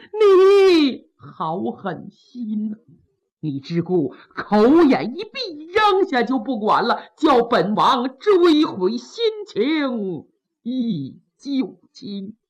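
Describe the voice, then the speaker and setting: A woman voicing a grief-stricken royal prince who cries through a slow, drawn-out, wailing lament. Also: a little high, muffled recording, full character voice. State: crying.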